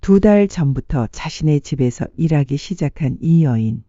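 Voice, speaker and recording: A narrator reading a dramatic story in a measured, sentimental storytelling manner. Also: mid-range voice, expressive, warm tone, no echo, highs cut off. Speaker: audiobook narrator.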